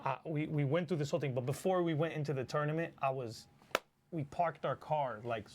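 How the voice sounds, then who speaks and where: A man in his forties with a Lebanese accent tells stories in loose, casual talk, full of swearing and fillers. His voice sits a little high for a man. His delivery stays animated and lively. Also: a little fast, noisy background. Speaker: battle rapper guest. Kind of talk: casual chat.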